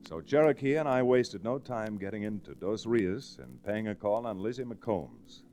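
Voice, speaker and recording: An actor with an American accent playing a stern, upright lawyer-rancher, firm and measured, in an old radio Western. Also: male voice, on the low side, animated, dark tone, soft background music, close to an everyday voice. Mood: serious. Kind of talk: old-time radio Western acting and narration. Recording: noisy vintage radio recording.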